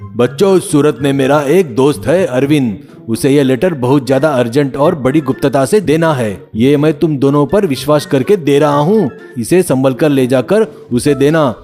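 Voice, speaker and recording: Earnest worried dad done by a male narrator, storybook tale, mellow and expressive. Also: mid-range voice, moderate pace, faint music behind, natural voice. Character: worried, scolding father of two grown sons.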